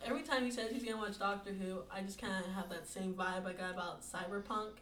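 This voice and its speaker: Female co-host, soft and hesitant, distant mic, faint hiss. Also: mid-range voice, steady tone, quick pace. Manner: soft-spoken, hesitant, many "um"s. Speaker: podcast co-host. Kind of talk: casual podcast chat. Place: indoor room. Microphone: set far from the mouth. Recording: echoey room, far from the mic, faint hiss.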